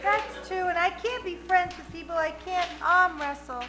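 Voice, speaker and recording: A woman's voice: extremely high pitch, moderate pace. A woman playing a goofy, perky, eager detective's assistant in a comic radio play. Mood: fond. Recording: echoey hall with music behind.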